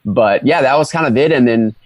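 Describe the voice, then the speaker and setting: A man in his twenties, a guest entrepreneur chatting casually, relaxed and a bit hesitant. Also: medium pitch, a little fast, dry recording. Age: 20s. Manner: relaxed, hesitant with fillers and restarts. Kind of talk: casual interview chat.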